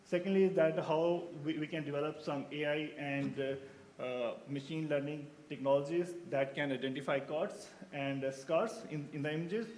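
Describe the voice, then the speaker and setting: A man in his thirties from Pakistan presents research at a symposium. His voice sits fairly high, and he pauses often on fillers and restarts himself mid-sentence. A faint hum of room noise stays underneath. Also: steady tone, a little mellow, poor audio. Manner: hesitant, many fillers and restarts. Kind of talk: conference talk.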